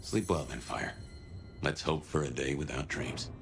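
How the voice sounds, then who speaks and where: Over prominent music comes a low-pitched noir gumshoe. He talks in a wry, folksy drawl, world-weary but smooth, as in a detective game. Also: unhurried, heavily acted. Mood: musing. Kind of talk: noir video game voice acting.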